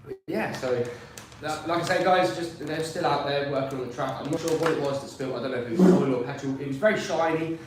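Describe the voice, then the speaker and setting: A man's voice: fairly high pitch, fast, hardly any pauses, distant mic, background noise. A man from Peterborough, originally from South Wales, talking through the track as a racer and guest commentator in a rambling, upbeat way. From born in South Wales, raised in Peterborough. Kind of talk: casual racing commentary. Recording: echoey room, voice off-mic.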